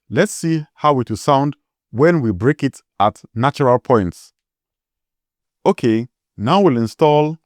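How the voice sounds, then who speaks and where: A man's voice: mid-range voice, a little mellow, dry recording, studio quality. A man with a strong Nigerian accent hosting a tutorial, explaining step by step and spelling words out letter by letter. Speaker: tutorial host.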